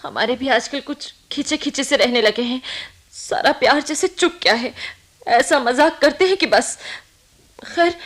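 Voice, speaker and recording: A woman playing an anxious but practical young married woman in a radio play, speaking in an animated, urgent and reassuring way. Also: high-pitched, unhurried. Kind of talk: Hindi radio play acting.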